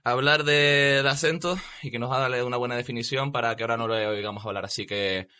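A man's voice: medium pitch, steady tone, fairly quick, sharp tone, smooth. A man co-hosting a radio show in casual banter, mock-exasperated and often repeating himself. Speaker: radio co-host.

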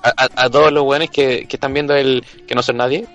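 A man's voice: mid-range voice, steady tone, a little fast, fairly bright tone, highs cut off, soft background music. A man from Chile with a Chilean accent, joking casually and crudely in thick slang. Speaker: comedy show panelist.

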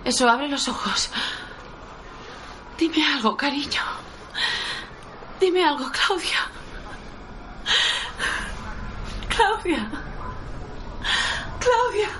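A man's voice: extremely high pitch, very animated, slow, long pauses, noisy background, exaggerated voice. A man playing an anguished, tender survivor caring for a loved one, acting and narrating in a radio serial. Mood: pleading, desperate. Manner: tender, distressed. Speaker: actor in an audio drama.

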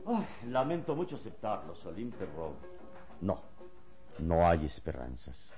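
A very deep-voiced hero of a radio serial, serene and solemn. His delivery swings widely yet stays measured and dramatic. The sound is dull and old, with clear music behind him. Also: wildly swooping pitch, full character voice. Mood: grave, resigned. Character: serene, heroic adult man consoling his young companion. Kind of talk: Spanish-language radio serial acting.

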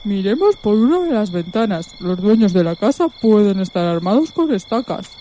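A woman's voice: medium pitch, very animated, normal speed, mellow, slight background noise, natural voice. A woman playing a bold, sensible donkey who leads animal musicians in a radio play, with theatrical delivery. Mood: scheming.

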